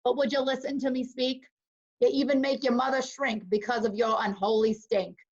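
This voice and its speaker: A woman voicing an ill-tempered, greedy mother from a storybook rhyme, scolding in sharp, emphatic exclamations. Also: on the high side, fairly even intonation, moderate pace, natural voice. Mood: scolding, defiant. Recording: dull lo-fi call audio.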